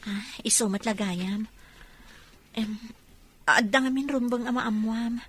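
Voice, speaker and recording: Hurt, proud young Ilocano woman, played by an actress, high-pitched, forceful, radio drama. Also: a little slow, slightly rough. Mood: hesitant.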